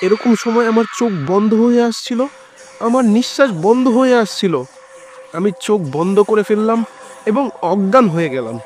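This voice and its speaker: A man's voice: sits high, moderate pace, darkish tone, a little hiss or hum. A man narrating a scripted first-person horror story in a dramatic, suspenseful storytelling manner. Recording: background music under the voice.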